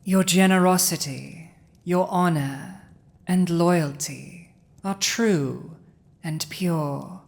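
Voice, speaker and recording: Slow, low-voiced commanding dragon ruler played by a woman. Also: gravelly, darkish tone, exaggerated voice. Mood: tender, possessive. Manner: slow, deliberate, dominant, teasing. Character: villainous dragon ruler, commanding, possessive, teasing. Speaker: voice actor in audio roleplay. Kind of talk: intimate scripted audio roleplay.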